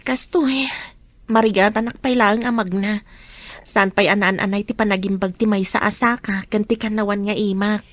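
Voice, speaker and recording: An actress playing a weary, insecure wife recovering from an accident in an Ilocano radio drama, with plaintive, drawn-out delivery. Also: female voice, medium pitch, fairly even intonation, no echo, close to an everyday voice. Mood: self-pitying.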